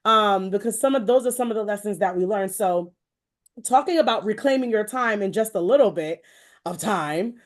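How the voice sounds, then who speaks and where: An American woman hosting a conversational, faith-based interview, speaking in an effusive, rambling way with expressive asides. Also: medium pitch, normal speed. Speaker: show host interviewing a guest.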